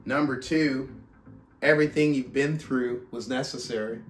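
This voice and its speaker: A man who speaks as a motivational book club host, reading aloud and coaching in an emphatic, deliberate, rhetorical manner. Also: mid-range voice, steady tone, soft background music. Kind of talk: audiobook-style reading aloud and motivational talk. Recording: roomy, echoing sound.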